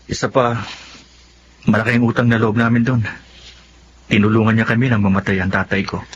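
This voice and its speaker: A man's voice: lowish voice, little pitch movement, a little fast, lightly acted. A man with a Filipino accent playing a glib, carefree young office worker who lies easily in a radio drama. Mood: feigned sorrow. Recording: muffled audio with faint background noise.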